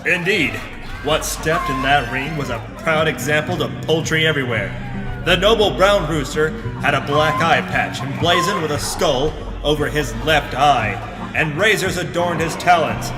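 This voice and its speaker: A man playing a hardboiled, self-serious pulp detective hero, narrating deadpan in a comic audio drama. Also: fairly high pitch, lightly acted. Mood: grave narration. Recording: echoey hall reverb, music behind.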